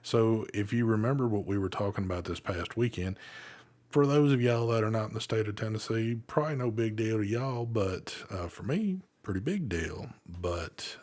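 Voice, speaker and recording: A man's voice: lowish voice, lively intonation, moderate pace, darkish tone. A man hosting a live vape show in casual chat, laid-back and swearing freely.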